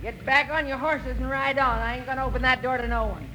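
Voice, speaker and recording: A woman's voice: high voice, brisk, pushed and bright. An actress playing a hostile, suspicious frontier woman who speaks in curt threats in an old western radio drama. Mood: threatening. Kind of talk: 1950s western radio acting. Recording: noisy old radio, off-mic.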